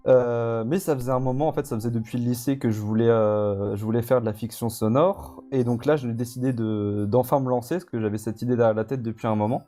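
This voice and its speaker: Male interview guest, soft dark tone, informal halting delivery, faint music behind. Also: mid-range voice, fairly even intonation. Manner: hesitant, informal, rambling. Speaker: guest, audio fiction creator. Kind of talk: casual live interview.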